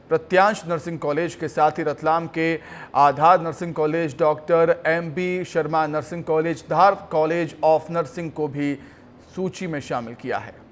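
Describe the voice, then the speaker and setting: A man reading television news as an anchor. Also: on the high side. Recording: music bed under the voice. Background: news music.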